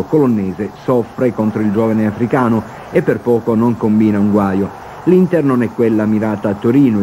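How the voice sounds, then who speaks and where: A man's voice: fairly low pitch, mellow. A man reading a scripted football match report as a television sports reporter. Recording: noisy archive TV broadcast.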